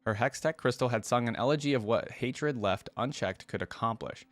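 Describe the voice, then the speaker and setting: A man's voice: medium pitch, normal speed, no room sound. A man hosting a reaction video, reading game lore aloud and adding casual commentary of his own.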